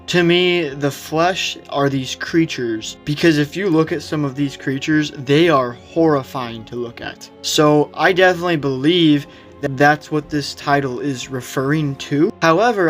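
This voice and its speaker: Higher-voiced man giving casual video commentary. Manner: opinionated, conversational. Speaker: video host. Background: background music.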